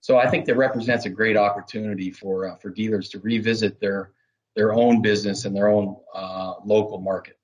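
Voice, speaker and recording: A man giving explanatory interview answers as a business development manager, halting at times and laying out points one by one. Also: fairly low pitch, steady tone, highs cut off.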